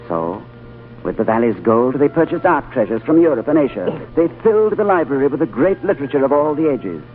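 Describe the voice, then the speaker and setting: An actor playing a male diplomat who narrates his strange journey in a 1930s radio drama, earnest and expressive. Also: medium pitch, fairly quick, natural voice. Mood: narrating. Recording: tinny old radio broadcast with music.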